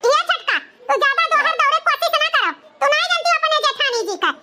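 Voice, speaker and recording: A performer with a pitched-up, squeaky voice and an eastern Uttar Pradesh accent, voicing a melodramatic, complaining village woman in a comedy cartoon. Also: quick pace, background noise. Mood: scolding, accusing. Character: scolding village mother-in-law and wronged widowed daughter-in-law, cartoon women.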